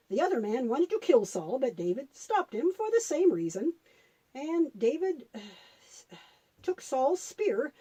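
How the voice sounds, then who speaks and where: A woman voicing a patient grandmother puppet who explains and tells Bible stories to children. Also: sits high. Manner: explanatory, patient, gently instructive.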